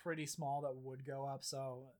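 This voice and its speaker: A man's voice: medium pitch, level tone, normal speed, a little mellow. A man hosting a casual gaming podcast who is losing his voice, rambling through blunt, profane chat with lots of fillers. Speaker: podcast host.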